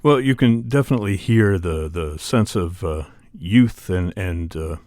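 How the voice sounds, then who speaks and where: Deep-voiced male radio interviewer. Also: lively intonation, unhurried, a little mellow, no echo, studio quality. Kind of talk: conversational arts interview.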